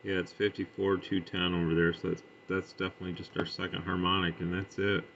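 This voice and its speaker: A man's voice: low voice, level tone, fairly quick, gravelly, a bit bright. A man giving a casual, off-the-cuff equipment demonstration, matter-of-fact and thinking aloud as he works. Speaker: radio hobbyist demonstrating and testing equipment. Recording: dull lo-fi sound with faint noise.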